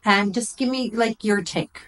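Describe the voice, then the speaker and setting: A woman's voice: mid-range voice, measured pace, a bit bright. A middle-aged woman counselor talking casually in an interview, chatty and repetitive, often saying "you know" and "right". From Greek family background. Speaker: therapist guest.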